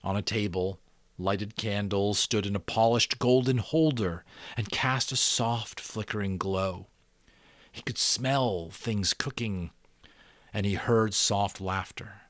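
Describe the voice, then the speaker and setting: A man reading a novel aloud as dramatic narration, with expressive, deliberate phrasing and pauses. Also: lowish voice, dry recording. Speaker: amateur audiobook narrator.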